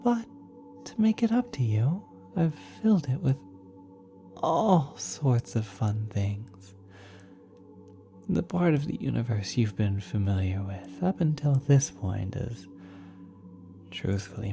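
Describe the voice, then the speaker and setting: Nurturing celestial entity, woman performer: very deep, dark, breathy and unhurried, soothing ASMR roleplay delivery, soft music underneath. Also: heavily acted. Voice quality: soft, breathy, often whispered. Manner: tender, reassuring, unhurried, speaking as if to a small child. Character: gentle, doting ethereal cosmic being, nurturing a young one.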